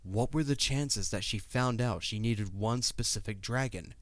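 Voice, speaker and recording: A man's voice: fairly low pitch, unhurried, a bit bright, no echo. A man narrating a scripted audio drama as its storyteller.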